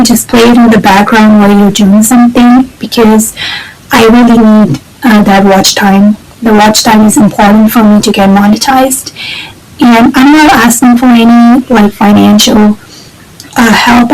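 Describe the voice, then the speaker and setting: A woman's voice: mid-range voice, darkish tone, slight background noise, rough recording. A young woman whose first language is not English, talking casually to camera in a rambling, hesitant way with many fillers. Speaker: vlogger. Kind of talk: casual personal vlog.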